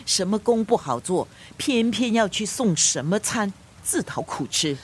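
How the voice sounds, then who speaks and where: A woman playing a gruff but loving grandmother of nearly seventy in a radio drama, with a scolding, grumbling manner. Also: mid-range voice, animated, unhurried. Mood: stubborn grumbling. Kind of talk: Chinese radio drama acting.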